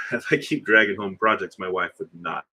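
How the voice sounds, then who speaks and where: Low-voiced man chatting on a livestream. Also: normal speed, sharp tone, slightly rough. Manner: rambling, conversational, hesitant with fillers. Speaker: livestream host. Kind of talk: casual live chat reading viewer comments.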